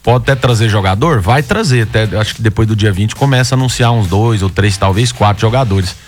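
A man's voice: lowish voice, faint music behind. A man, a sports radio commentator who teases his co-hosts in casual banter. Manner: joking, teasing, quick-talking.

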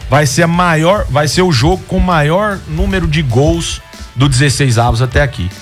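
A Brazilian man co-hosting a casual sports show, animated and keen on betting tips. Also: fairly high pitch, normal speed, soft background music. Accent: Brazilian Portuguese.

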